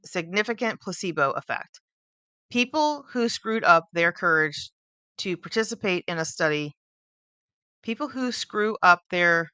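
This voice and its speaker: A woman hosting a book club, reading a nonfiction book aloud at a steady pace, now and then stumbling and restarting a word. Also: fairly low pitch, clear, resonant tone, dry recording.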